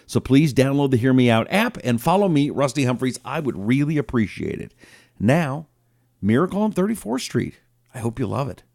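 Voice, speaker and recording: A man's voice: mid-range voice, sing-song, moderate pace, vocal fry, a little mellow, no room sound, clean studio sound. A man hosting with a casual, informal spoken introduction and promotion, appealing directly to listeners. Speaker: podcast host.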